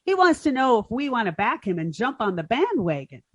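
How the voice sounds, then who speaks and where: Woman playing a naive, lilting, high-voiced ditz. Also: sing-song, brisk, dry recording, dull audio. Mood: chirpy. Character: naive, dim-witted, earnest young woman. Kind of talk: old-time radio comedy acting.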